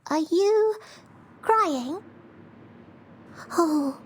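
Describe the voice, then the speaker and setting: An actress voicing an excitable, naive, well-bred young girl in a video game, eager and sweet. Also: very high voice, very slow, full character voice. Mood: wondering, gentle. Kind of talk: animated video game acting. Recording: music under the voice.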